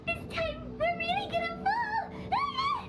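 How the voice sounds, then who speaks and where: A woman voicing a tiny, excitable floating female companion creature in a video game, bubbly and exclaiming, talking about herself by name. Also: measured pace. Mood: excited. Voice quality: high-pitched, childlike. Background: game music.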